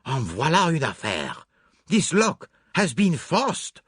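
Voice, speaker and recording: A man voicing an excitable, theatrical foreign detective, emphatic and dramatic, in an audiobook. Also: medium pitch, moderate pace, slightly rough, no room sound, clean studio sound, voice pushed up. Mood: astonished. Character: excitable, theatrical foreign male detective, fastidious and self-reproaching.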